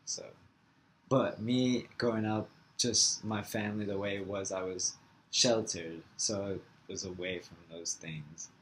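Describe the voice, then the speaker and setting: A young man with a somewhat low voice, from Florida, with Farsi as his first language. He answers interview questions casually and haltingly, with many "like" fillers. A faint hiss sits under his voice. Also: steady tone, a bit bright, poor audio. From Florida, USA.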